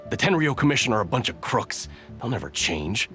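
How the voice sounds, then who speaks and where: A man's voice: medium pitch, big pitch swings, fairly quick, pushed and bright, soft background music. A man voicing a disgruntled, distrustful soldier in a video game, grumbling and scornful. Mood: indignant. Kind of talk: video game character acting.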